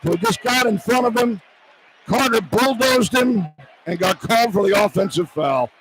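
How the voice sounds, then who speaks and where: A man doing live radio play-by-play of a basketball game in clipped, excitable running phrases that can rise into shouts. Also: sits high, normal speed. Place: courtside in an indoor arena. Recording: loud arena noise behind. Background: loud game ambience.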